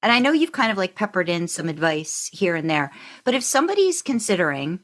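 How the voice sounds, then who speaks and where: A woman podcast host with a slightly low voice talks in a casual, conversational interview style. She is effusive and chatty, wandering into asides as she goes. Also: big pitch swings, a little fast, fairly bright tone. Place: remote video call.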